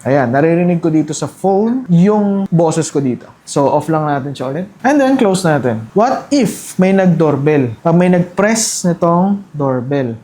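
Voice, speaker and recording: Filipino accent, mid-pitched voice, casual step-by-step tutorial, conversational, instructional. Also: lively intonation, measured pace, hardly any pauses, dark tone. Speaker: product tutorial presenter. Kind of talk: casual step-by-step product demo in Taglish.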